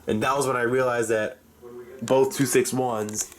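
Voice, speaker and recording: A man's voice: medium pitch, flat delivery, a little slow. A man giving a casual, rambling tour of a hobby collection, explaining things informally as he goes. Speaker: hobbyist presenting a radio collection.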